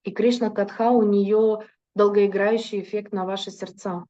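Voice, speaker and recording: A woman interprets a lecture sentence by sentence, fluent but now and then stopping to correct herself. Her voice is mellow and warm. The recording sounds dull and muffled. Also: mid-range voice, little pitch movement, moderate pace. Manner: fluent, with occasional hesitations and self-corrections. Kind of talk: consecutive interpretation into Russian.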